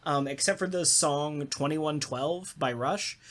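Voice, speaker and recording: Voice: mid-range voice, a little slow, fairly bright tone. An enthusiastic co-host and voice actor chatting casually in a round-table talk, swearing freely.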